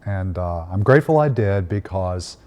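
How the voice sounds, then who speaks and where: A priest in his fifties from Tennessee, telling Christmas memories in a gentle, unhurried way with frequent "uh" fillers. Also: low-pitched, lively intonation. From Tennessee, United States. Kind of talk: reflective Christmas storytelling message.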